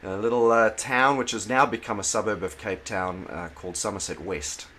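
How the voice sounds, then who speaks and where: A middle-aged South African pastor, talking in a relaxed interview, thoughtful and pausing with fillers and restarts. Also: male voice, on the low side, a little hiss or hum. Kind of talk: casual interview conversation.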